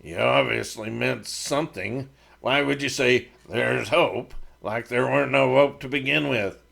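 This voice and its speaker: Stubborn frontiersman, slow gravelly grumble, read by a male narrator. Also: medium pitch, fairly bright tone, exaggerated voice. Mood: grumbling.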